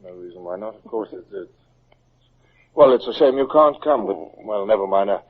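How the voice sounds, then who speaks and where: A man's voice: on the low side, expressive, normal speed. An actor playing an anxious, dutiful naval captain in an old radio drama, sometimes hesitant in his speech. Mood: flustered, covering up. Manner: hesitant, stammering when flustered. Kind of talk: 1950s radio drama acting. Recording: muffled, narrow-band old radio recording.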